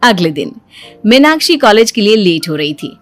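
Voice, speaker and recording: A woman's voice: mid-range voice, big pitch swings, normal speed, dry recording. Female storyteller, scripted narration, family tale, music in the background.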